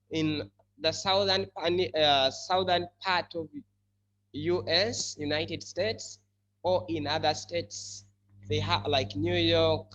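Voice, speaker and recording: Slow, bright-voiced male English teacher. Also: fairly high pitch, highs cut off, a little hiss or hum. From country formerly colonized by the UK. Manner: deliberate explaining, spelling out letters, repeating key words. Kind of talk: classroom lesson on English.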